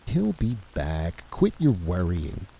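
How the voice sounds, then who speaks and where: A man voicing a gruff, slangy and stubbornly confident adventurer in a story reading. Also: sits low, wildly swooping pitch, slow pace, dull audio, a little hiss or hum, heavily acted. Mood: confident, reassuring.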